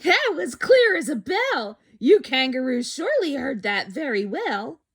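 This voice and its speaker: A woman's voice: high-pitched, sing-song, a little slow, pitched above the performer's own voice, heavily acted. A woman voicing a kind, brave male elephant, earnest, urgent and encouraging, in a storybook reading. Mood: pleased.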